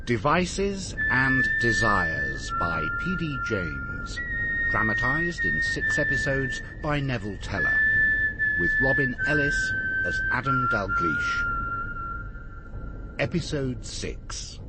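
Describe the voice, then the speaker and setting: A man's voice: mid-range voice, wildly swooping pitch, slow, very noisy. A man announcing a radio drama in a scripted introduction. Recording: loud background sound behind announcer.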